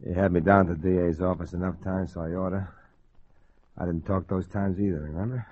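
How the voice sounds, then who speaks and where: A man's voice: low voice, steady tone, a little fast. A man playing a cocky, wisecracking small-time gangster and ladies' man in a 1940s radio crime drama, with slangy tough-guy talk. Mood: weary, defiant. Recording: muffled old radio broadcast.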